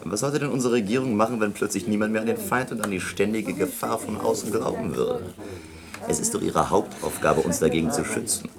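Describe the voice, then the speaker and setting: A man's voice: lowish voice, a little fast, crowd noise, close to an everyday voice. A man acting a sly, talkative, ironic island local in a 1960s German radio drama.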